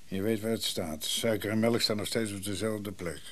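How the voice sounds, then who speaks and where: An actor playing a blunt, exasperated shopkeeper brother in a radio drama, unforgiving and curt. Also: male voice, on the low side, moderate pace, a bit bright, a little hiss or hum, lightly acted. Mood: cold, curt.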